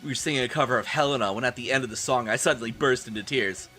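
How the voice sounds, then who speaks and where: A man's voice: medium pitch, racing, pushed and bright, faint music behind, close to an everyday voice. A man voicing a whiny, defiant, melodramatic teenage goth girl in an exaggerated fan fiction reading. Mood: defensive.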